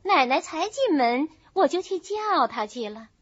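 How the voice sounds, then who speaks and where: A woman's voice: sits high, sing-song, unhurried, no room sound, voice pushed up. A woman voicing a deferential young maidservant in a storybook reading, reporting politely. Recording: dull lo-fi recording.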